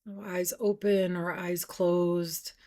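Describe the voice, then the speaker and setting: A woman meditation teacher leading a guided practice, speaking gently and softly with unhurried pauses, at times breathy. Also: lowish voice, level tone.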